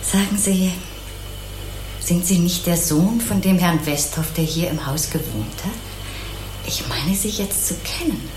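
Low-voiced, chatty, naive housewife, roomy sound. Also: female voice, takes it slowly, background noise. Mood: curious. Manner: obliging, artless, rambling, sympathetic. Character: chatty, naive, kindly housewife, dog trainer's wife. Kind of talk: 1980s German crime radio play dialogue.

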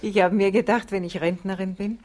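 An actress playing an older, self-assured headmistress, measured and matter-of-fact, in a German radio play. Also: female voice, mid-range voice, steady tone, a little mellow, natural voice. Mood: hopeful, planning.

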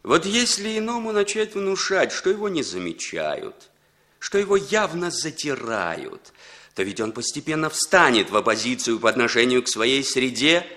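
A man's voice: mid-range voice, very animated, moderate pace, sharp tone. An actor playing a sly, scheming Western intelligence handler in a Soviet radio play, insinuating and ironic as he probes. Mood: scheming, reflective.

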